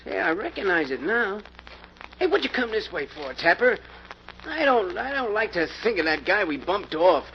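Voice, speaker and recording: Jumpy, high-voiced American crook. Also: male voice, sing-song, normal speed. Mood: nervous, fearful. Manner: nervous, whining, stammering, slangy. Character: jumpy, superstitious small-time American crook, ex-convict. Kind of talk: old-time radio crime acting. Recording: muffled, hissy old radio recording.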